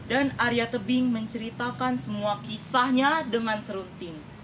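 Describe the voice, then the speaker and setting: Young girl doing storytelling, high voice, careful dramatic phrasing, bit of background noise. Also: normal speed. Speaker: storytelling contestant. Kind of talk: rehearsed dramatic folktale storytelling.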